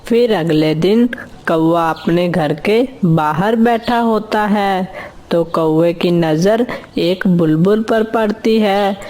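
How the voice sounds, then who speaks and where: A woman's voice: on the low side, darkish tone. A woman narrating a scripted children's story.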